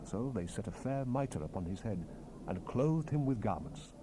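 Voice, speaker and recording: A man narrating scripture in a solemn, measured, theatrical manner, as part of a dramatized Bible recording. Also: medium pitch, lively intonation. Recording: hissy 1970s cassette rip.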